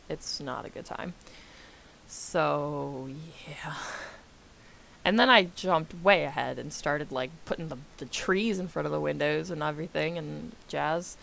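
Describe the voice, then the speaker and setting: A woman's voice: sits low, unhurried, vocal fry, a bit bright, a little hiss or hum. A young American woman, a little congested, giving a rambling, self-deprecating voice-over on her game build and laughing often. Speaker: gaming YouTuber.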